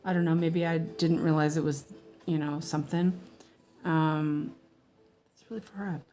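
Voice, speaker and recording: A woman's voice: sits low, steady tone, normal speed, warm tone. An American woman chatting in a rambling, meandering way with her viewers as she stitches during a casual livestream.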